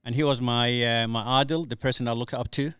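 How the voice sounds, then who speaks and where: Earnest Somali-born man, smooth voice. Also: medium pitch, steady tone, quick pace, fairly bright tone, dry recording, studio quality. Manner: earnest, hesitant storytelling with many fillers. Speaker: guest. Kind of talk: casual podcast interview.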